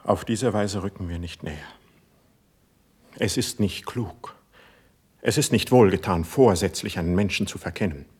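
An ardent, husky young court poet. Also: male voice, sits low, normal speed, pauses often, darkish tone, exaggerated voice. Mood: earnest, measured. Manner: fervent, lofty, declamatory delivery. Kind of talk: classical German verse stage acting for radio play.

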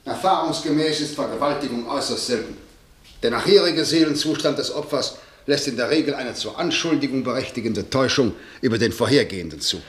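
An actor playing a formal, dismissive legal official in a radio play, lecturing and indignant. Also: male voice, medium pitch, fairly quick, fairly bright tone, lightly acted. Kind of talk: German radio play acting, 1960.

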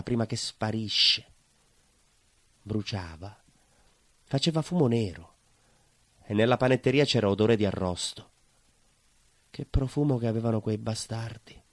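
An actor playing a bitter, vengeful baker in an intimate theatrical monologue, brooding and dramatic. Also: male voice, fairly low pitch, no echo. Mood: grim, brooding. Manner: brooding, dramatic pauses and repetitions. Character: bitter southern Italian baker confessing to vengeful murder.

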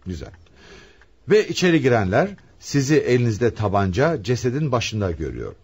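Radio play detective, brisk, authoritative, raspy, medium pitch, very animated delivery. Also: male voice. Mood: probing. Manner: commanding, deliberate, theatrical. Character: brisk, authoritative male police commissioner interrogating suspects.

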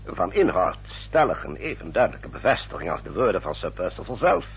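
A man playing an older, formal Victorian gentleman adviser in a Dutch radio drama, rough-voiced, deliberate and emphatic. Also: sits low, sing-song, exaggerated voice. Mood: judicious, assured. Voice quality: husky, rough. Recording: dull, muffled old radio recording.

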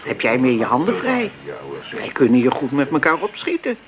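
A middle-aged mother and grandmother in a radio family drama, caring and a little fussy. Her pitch moves around a lot, and a busy crowd of voices sounds behind her. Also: big pitch swings, moderate pace. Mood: soothing. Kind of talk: Dutch radio drama acting. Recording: radio play with loud party chatter.

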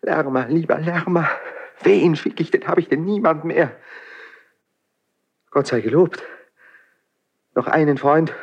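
Passionate young prince, higher husky voice, fervent broken phrases, despairing, classical radio drama. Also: male voice, unhurried, pauses often, muffled recording. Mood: desperate. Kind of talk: classical radio stage acting.

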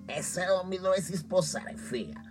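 An actor playing a cynical, self-satisfied young doctor with a Milanese accent, wheedling and slangy in a radio comedy. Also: male voice, high voice, lively intonation, normal speed, music in the background. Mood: dramatic pleading. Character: pleading, cynical, foppish young northern doctor. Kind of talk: theatrical radio comedy acting.

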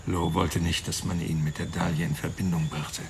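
Compromised male witness, crime radio play: low, creaky, subdued and halting, with clear background noise. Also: flat delivery. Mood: weary, confessing. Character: weary male witness confessing he was bought off. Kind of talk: radio drama dialogue.

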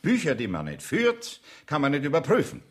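An actor playing a sarcastic, contemptuous banker in a radio play, scornful and incredulous. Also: male voice, a little high, huge pitch swings, moderate pace. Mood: sarcastic, indignant. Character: sarcastic, contemptuous male banker pressing for answers.

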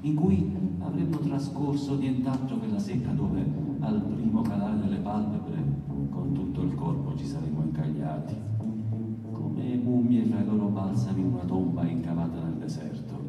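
Male literary reader, lowish register, theatrical and deliberate; distant, very reverberant, music underneath. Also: dark tone. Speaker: author reading his own text at a poetry event. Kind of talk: literary recitation, first-person narrative.

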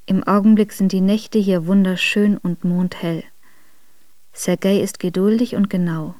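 A woman playing a devoted young exiled noblewoman in a radio drama, reading her letters in a measured, intimate and formal way. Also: mid-range voice, fairly even intonation, dark tone, no room sound, natural voice. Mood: quietly content.

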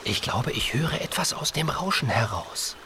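A man voicing a gentle, curious, earnest piglet in a children's radio play, speaking in a wondering way. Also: medium pitch, very animated, moderate pace. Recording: clear ambient noise behind.

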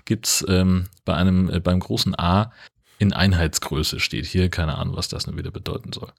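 Deep, husky man, wry podcast co-host. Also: normal speed. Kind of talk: casual podcast chat.

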